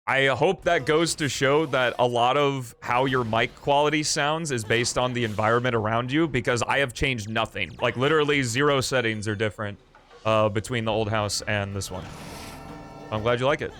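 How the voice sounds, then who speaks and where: A young man chatting casually on a live stream, loud and rambling with lots of fillers and jokes, in a small foam-treated room. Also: medium pitch, fairly even intonation, normal speed.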